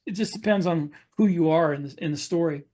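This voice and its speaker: A man's voice: on the high side, fast talker, a little mellow. A man talking casually as an author on a podcast panel, explaining things and jumping in readily.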